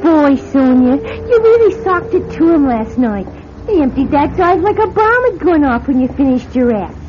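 A woman's voice: sits high, big pitch swings, normal speed, music in the background. An actress playing a brassy, wisecracking showgirl friend with slangy talk in a radio drama. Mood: breezy, gleeful. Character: brassy, sassy showgirl friend, adult woman. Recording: dull radio recording, faint background noise.

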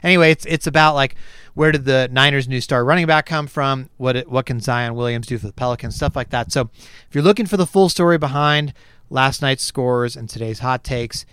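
A man's voice: medium pitch, fairly quick, a bit bright, clear, resonant tone, no room sound, clean studio sound. A man hosting a podcast in casual chat, losing his voice and rambling with many fillers and restarts. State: losing his voice, clearing his throat. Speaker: podcast host. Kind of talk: casual chat, reading a sponsor spot.

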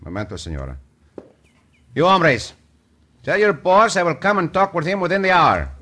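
An actor playing a calm, bold Mexican cowboy hero with a Mexican accent in an old-time radio western. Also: male voice, on the high side, normal speed, heavily acted. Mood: calm, commanding.

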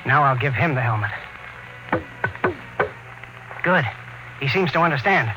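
An actor playing an earnest, deferential young patrol linguist, careful and polite, in old-time radio drama style. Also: male voice, mid-range voice, lively intonation, a little fast, echoey room, natural voice, rough recording. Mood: earnest, helpful. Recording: vintage radio recording, music behind.

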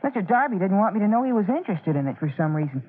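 A young man playing an excitable, earnest boy junior firefighter in an old-time radio drama, eager and full of boyish exclamations. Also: high-pitched, very fast, slight background noise. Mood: excited, eager. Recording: muffled tinny vintage radio recording.

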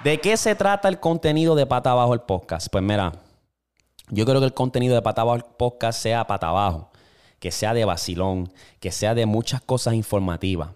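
A man's voice: medium pitch, expressive, fairly quick, smooth. A young man from Puerto Rico with a Puerto Rican accent, hosting a casual solo podcast in a slangy, laid-back way. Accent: Puerto Rican Spanish. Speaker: podcast host. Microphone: single camera with mic.

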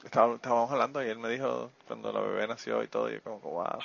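A man's voice: medium pitch, fairly even intonation, rapid-fire, creaky. A man hosting a casual conversation, friendly and given to laughter and rambling asides. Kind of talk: casual interview chat. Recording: muffled lo-fi dry audio.

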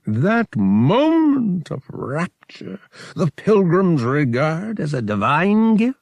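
A man voicing a huge, smug male alien priest with a booming, drawn-out delivery in an audiobook. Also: huge pitch swings, creaky, darkish tone, no echo, exaggerated voice. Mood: smug, amused. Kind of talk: audiobook character dialogue.

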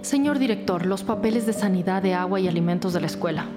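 A woman's voice: medium pitch, fast talker, over music, natural voice. A woman playing a blunt, commanding lead police detective in a crime audio drama, speaking formally. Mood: formal, probing.

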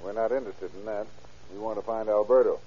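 Gruff-edged, fast-talking detective sidekick. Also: male voice, on the low side, fairly even intonation, mellow. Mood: curt. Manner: brisk, blunt, slangy. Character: brisk, no-nonsense male detective sidekick. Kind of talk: old radio crime drama acting. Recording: muffled vintage radio show recording.

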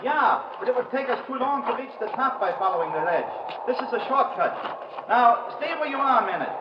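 Radio villain: treacherous mountain guide, stiff and deliberate, unusually high male voice, muffled, noisy vintage recording. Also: expressive, echoey room. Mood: businesslike. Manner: stiff, formal, deliberate phrasing. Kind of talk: 1950s radio drama villain acting.

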